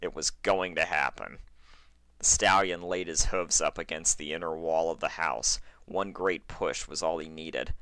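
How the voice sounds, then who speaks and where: A man's voice: extremely low pitch, a little slow, pushed and bright, no echo. A man narrating a fan fiction story in a dramatic, scripted reading style.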